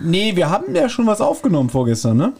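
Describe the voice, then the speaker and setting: A man's voice: high voice, huge pitch swings, fast, creaky, a little mellow, slightly rough. A man co-hosting a podcast in casual chat, rambling with restarts and laughing easily. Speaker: podcast co-host.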